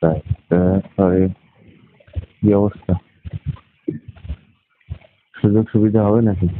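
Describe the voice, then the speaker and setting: Man, deep voice, casual flirty phone chat, suggestive, tinny line. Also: steady tone, slow pace.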